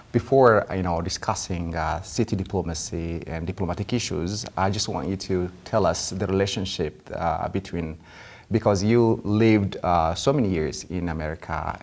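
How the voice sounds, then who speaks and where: A man's voice: low voice, creaky. A man hosting a TV interview program, formal and hesitant, with many fillers. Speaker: TV show host.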